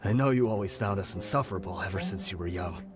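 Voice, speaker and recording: A man's voice: deep voice, quick pace, noisy background, close to an everyday voice. An actor playing a weary male mercenary leader who speaks in a brooding, confessional way.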